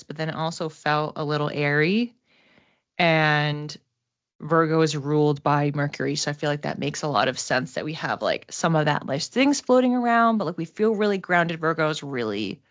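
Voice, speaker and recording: A woman hosting an oracle card reading in a casual, halting, conversational way, gently reassuring as she improvises. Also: lowish voice, dry recording. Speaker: host giving psychic oracle card readings. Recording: dull, muffled lo-fi sound.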